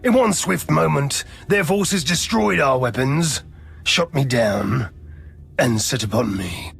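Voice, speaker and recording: An actor playing a haughty, wrathful Sith lord in a video game, with an imperious, menacing, theatrical delivery over music. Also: male voice, mid-range voice, lively intonation, slow, gravelly, fairly bright tone, husky, heavily acted. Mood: scornful, angry. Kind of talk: dramatic video game acting.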